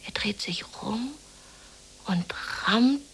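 Radio play lead: lonely, despondent woman, halting half-whispered monologue with a gravelly fry, faint background noise. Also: medium pitch. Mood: haunted, remembering. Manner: halting, breathy half-whisper, musing to herself. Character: lonely, depressed unemployed woman, brooding and dreamy.

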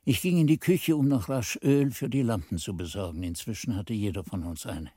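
A man's voice: lowish voice, fairly quick, mellow, dry recording, studio quality, close to an everyday voice. A man playing an earnest monastery narrator in a radio drama, recounting events with careful, storytelling delivery.

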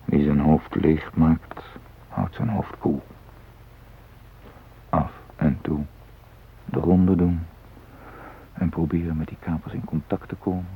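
An actor playing a self-possessed man posing as a doctor among hostages, musing in measured, clipped phrases in a radio drama. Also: very deep voice, level tone, breathy edge, heavily acted. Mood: tense, brooding. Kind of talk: radio drama interior monologue. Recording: muffled phone-like old radio recording, hiss.

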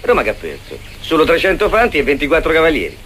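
An actor playing an earnest ancient who explains and insists, in a comic radio play. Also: male voice, on the high side, huge pitch swings, fast, a bit bright, a little hiss or hum. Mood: insistent.

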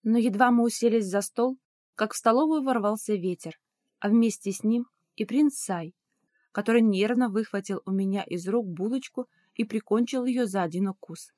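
A woman's voice: on the high side, normal speed, mellow, no room sound, studio quality. A woman reading scripted first-person fiction as an audiobook narrator.

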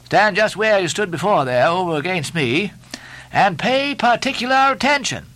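A man's voice: high voice, sing-song, moderate pace, bright, forceful tone, pitched above the performer's own voice, exaggerated voice, rough recording. A man voicing a bossy, mocking ship's officer in a sea novel, badgering theatrically with nautical commands and mock-solemn questions. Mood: jovial, mocking.

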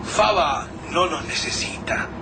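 A man with a Rioplatense accent plays an anxious survivor in a radio drama, talking in quick urgent bursts. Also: a little high. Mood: suspicious. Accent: Rioplatense Spanish. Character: tense male survivor giving directions. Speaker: Lucas, a survivor. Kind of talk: amateur radio drama acting. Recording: distant mic with background noise.

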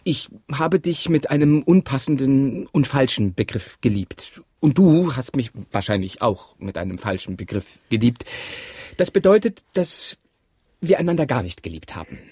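A man's voice: mid-range voice, sing-song, moderate pace, dark tone, breathy edge, no echo, studio quality. An actor playing a stubborn, self-justifying man torn between two women in an absurdist radio drama, arguing pedantically and repeating his phrases. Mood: evasive, argumentative.

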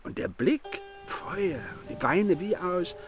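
A man in a German radio play acting a sly, boastful village fiddler who trades horses and tells halting tales. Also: high-pitched, wildly swooping pitch, soft background music, exaggerated voice. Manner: halting, boastful, mock-servile.

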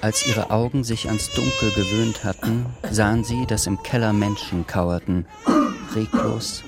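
An actor narrating a sober wartime story in a scripted radio drama. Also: male voice, on the low side, steady tone, measured pace, creaky. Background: dramatic music.

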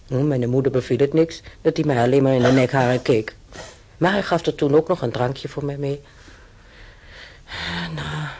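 A man's voice: medium pitch, vocal fry, a little mellow, husky. An actor in a radio play voicing a chatty, stubborn old German-born man who rambles through his memories with hesitations. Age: older. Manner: rambling, reminiscing, with hesitations and tag questions. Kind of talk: conversational radio play acting.

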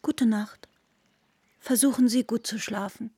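An actress playing a polite, reserved and evasive young lover in a literary radio drama, with a husky, breathy voice. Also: female voice, a little high, steady tone, measured pace, dark tone, no room sound, heavily acted.

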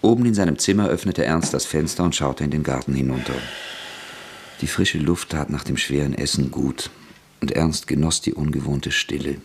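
Very deep gravelly male narrator. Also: a little hiss or hum. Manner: measured, sober storytelling. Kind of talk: scripted radio drama narration.

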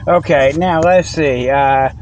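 A man with a slightly high voice rambles in a folksy, easygoing way, laughing often and tagging his points with "okay?". He talks into a tablet from a parked car. Faint outdoor noise sits behind him. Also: slow pace, dull audio. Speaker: host of a comedy podcast segment. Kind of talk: rambling casual monologue. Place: in a parked vehicle in a parking lot.

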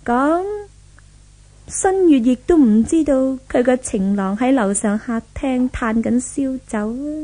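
High pitched nasty rich girl villain, taunting and haughty, hong kong cantonese, old radio play. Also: mellow, rough recording. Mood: smug scheming. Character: spiteful young rich villainess, gloating and imperious. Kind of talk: 1970s Cantonese radio drama acting. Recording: vintage 1970s radio drama recording.

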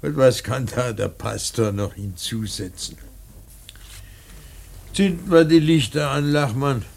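An old painter in mourning for a radio play, bitter and rambling. His voice is husky and crackles with gravel. He speaks slowly and haltingly, with heavy emphasis that swells out of murmurs. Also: medium pitch, a little mellow. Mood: solemn grief. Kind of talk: theatrical German radio drama monologue.

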